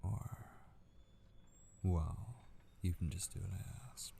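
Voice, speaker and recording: Male actor as obsessive kidnapper, deep gravelly voice, teasing, hushed, possessive, patient menace, ASMR roleplay. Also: steady tone, a little hiss or hum, full character voice. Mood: patient, threatening. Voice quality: low, creaky. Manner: slow, teasing, drifting into whispers with giggles. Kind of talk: intimate roleplay ASMR monologue.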